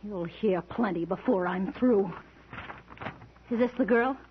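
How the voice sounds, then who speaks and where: A woman's voice: medium pitch, a little slow, a little hiss or hum, lightly acted. An actress playing a jealous, sarcastic, nagging woman in an old-time radio drama, accusing in manner. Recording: tinny, narrow-band old radio recording.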